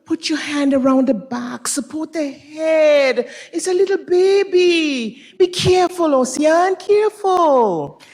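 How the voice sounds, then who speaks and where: A woman's voice: sits high, animated, slow pace, darkish tone, voice pushed up, heavily acted. A woman voicing an anxious, fussing grandmother in a dramatized story reading, urgently repeating her cautions. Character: anxious, fussing grandmother warning about a baby. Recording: echoey hall, long reverb.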